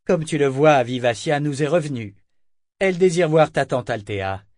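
A man voicing a scheming pirate captain who speaks in a hearty, falsely warm way. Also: a little high, lively intonation, normal speed, no room sound, clean studio sound, pitched above the performer's own voice, natural voice.

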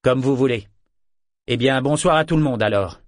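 A man's voice: mid-range voice, normal speed, no echo, clean studio sound, voice pushed up, close to an everyday voice. A man voicing a proud, blunt, bitter sailor in an audiobook. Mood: curt, guarded. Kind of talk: audiobook character dialogue.

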